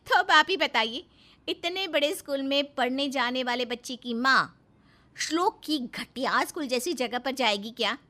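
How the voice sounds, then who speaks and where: Female actor as haughty young mother, vain, condescending, animated swooping pitch, sharp tone, English phrases mixed in, cartoon story. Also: unhurried, exaggerated voice. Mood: haughty scorn. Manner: condescending, sprinkles English phrases into her talk. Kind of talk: Hindi cartoon story acting.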